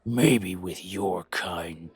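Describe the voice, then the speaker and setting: A man voicing a gruff, weary werewolf who speaks in terse, surly, halting phrases. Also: low-pitched, dry recording, full character voice. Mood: surly contempt.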